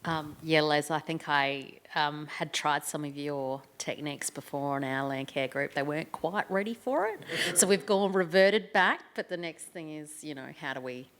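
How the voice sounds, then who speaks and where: Low-voiced woman giving workshop feedback. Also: moderate pace, few pauses, a bit bright, slight background noise. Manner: chatty, filler-heavy. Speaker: landcare volunteer group member.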